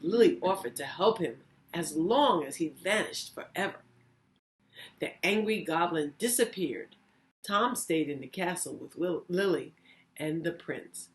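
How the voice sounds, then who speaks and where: A woman narrating a children's story as a reading teacher, deliberate and theatrical in her phrasing. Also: lowish voice, expressive. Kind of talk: expressive children's read-aloud narration.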